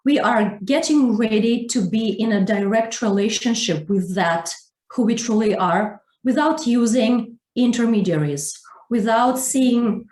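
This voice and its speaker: A woman spiritual teacher answering interview questions over a video call, calm and reflective with pauses. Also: mid-range voice, reverberant, low-quality recording.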